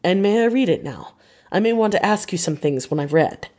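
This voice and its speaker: A woman's voice: medium pitch, animated, a little fast, darkish tone, close to an everyday voice. Woman acting effusive old male doctor. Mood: grateful. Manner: emphatic, effusive, in broken formal English.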